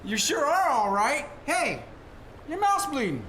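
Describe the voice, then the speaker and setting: An actor playing a folksy, excitable small-town man in 1940s radio style. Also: very high voice, a little slow, exaggerated voice. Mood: puzzled, exclaiming. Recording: roomy, slightly noisy stage recording.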